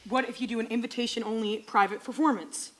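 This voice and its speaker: A woman's voice: fairly high pitch, fairly even intonation, quick pace, fairly bright tone, lightly acted. A young woman on a school stage playing a wry, helpful friend. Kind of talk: school stage play acting.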